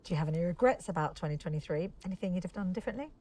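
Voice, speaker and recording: Documentary interviewer: probing, calm, dark-toned, distant from the mic. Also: female voice, on the low side, rapid-fire.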